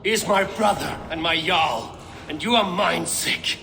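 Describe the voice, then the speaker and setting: A man's voice: fairly high pitch, measured pace, gravelly, sharp tone, exaggerated voice. A man playing a fierce, vengeful Viking warrior in a video game, blunt and defiant in delivery. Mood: grim anger. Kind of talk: dramatic video game acting.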